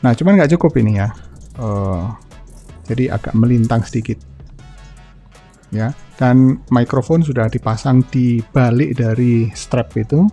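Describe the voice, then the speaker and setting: An older man giving a relaxed, chatty product review, full of fillers and restarts. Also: medium pitch, a little slow, faint music behind. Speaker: motorcycle gear reviewer.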